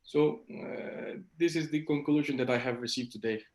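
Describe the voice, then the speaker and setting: A man's voice: medium pitch, a little mellow. Reflective man in an echoey room. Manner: measured, reflective, with repeated restarts. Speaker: panel participant. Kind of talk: concluding remarks at online panel. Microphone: video call. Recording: roomy echo on a call.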